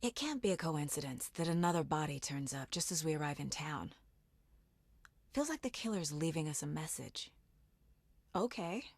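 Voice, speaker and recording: A woman's voice: deep voice, animated, normal speed, gravelly, long pauses, no room sound, full character voice. An actress voicing a determined, intense woman FBI agent in dramatic video game dialogue. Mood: calm, businesslike.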